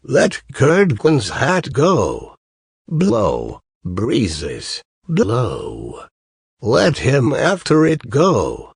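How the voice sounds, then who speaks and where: A man acts out a wronged young princess tending geese in a storybook tale. The sorrowful girl chants her rhymes very slowly, with a husky grain and words that swoop downward. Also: mid-range voice, wildly swooping pitch, no echo, studio quality, heavily acted. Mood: chanting, commanding.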